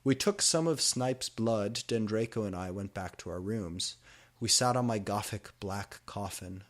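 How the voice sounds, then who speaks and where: A man's voice: lowish voice, measured pace. A man reading fan fiction aloud as a dramatic comic narration, sounding out garbled words exactly as written. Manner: reads misspellings and typos aloud literally.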